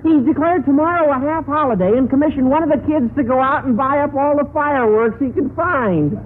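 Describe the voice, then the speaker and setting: An actor playing a goofy, slangy, squeaky-voiced teenage schoolboy in a vintage radio sitcom. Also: male voice, fairly quick. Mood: excited, gossipy. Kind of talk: 1940s-50s radio sitcom acting. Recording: noisy narrow-band radio recording, audience noise.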